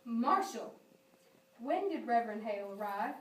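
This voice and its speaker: A woman's voice: on the high side, big pitch swings, normal speed. An imperious judge of colonial times acted by a woman, curt and commanding. The amateur play is caught from a distance in an echoey room. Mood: stern. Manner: curt, questioning, authoritative. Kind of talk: amateur stage-play acting. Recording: echoey room, distant mic, faint noise.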